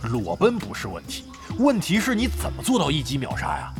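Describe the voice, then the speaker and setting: A man's voice: on the high side, huge pitch swings, brisk, vocal fry, heavily acted. A man playing a crude, brash veteran gamer, a cocky roguish grumbler, with coarse exclamatory delivery in an audio drama. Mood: cautious, bantering. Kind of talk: Mandarin audio drama acting, comic banter. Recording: studio drama with music underneath.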